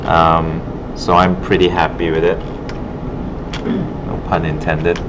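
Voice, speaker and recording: A man's voice: deep voice, level tone, slow pace. A man working as an art instructor, giving a casual classroom demo and thinking aloud informally as he works. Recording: muffled, noisy broken classroom audio.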